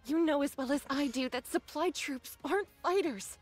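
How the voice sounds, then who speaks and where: Actress as a cautious young android soldier, high female voice, earnest and serious, anime game, background music. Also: normal speed, lightly acted.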